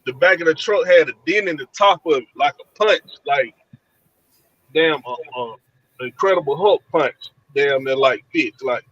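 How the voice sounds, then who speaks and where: A man from Georgia telling of a frightening night encounter over a call from his van, in animated, colloquial speech. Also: fairly high pitch, normal speed, breathy edge, no room sound, highs cut off. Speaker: guest telling an encounter story. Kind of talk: casual storytelling over a call.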